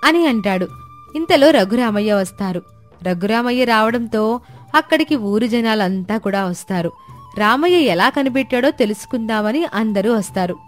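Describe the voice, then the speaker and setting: A woman's voice: a little high, expressive, moderate pace, faint music behind. A woman narrator telling a scripted moral story.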